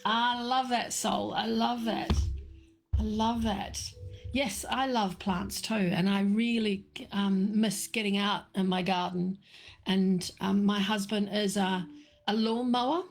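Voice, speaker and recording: Middle-aged woman host, slightly croaky, slow meandering delivery, soft music under. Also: medium pitch, fairly even intonation. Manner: slow, meandering, many fillers and restarts. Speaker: livestream host answering viewers. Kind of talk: casual live chat about tea.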